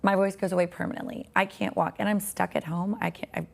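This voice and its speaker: A woman in her forties, a podcast guest with a raspy, tired voice, talking earnestly and reflectively about faith. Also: fairly low pitch, steady tone, quick pace. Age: 40s.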